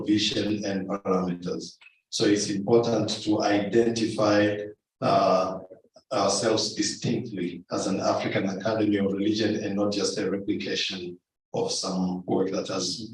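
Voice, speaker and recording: A man moderating an academic meeting in an unscripted, formal way, courteous and deliberate, with some halting as he goes. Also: fairly low pitch, a little mellow. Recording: distant, very echoey room, faint noise.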